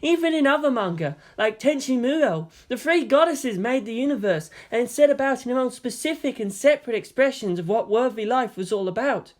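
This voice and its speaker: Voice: mid-range voice, expressive, normal speed, hardly any pauses, pitched above the performer's own voice, natural voice. A narrator voicing an earnest, geeky young male lieutenant who lectures emphatically. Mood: emphatic, lecturing.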